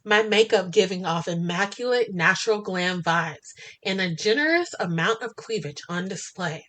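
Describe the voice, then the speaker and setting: A woman narrating a first-person romance story in an expressive, flirty and sassy way. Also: medium pitch, normal speed. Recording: music bed under the voice. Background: music.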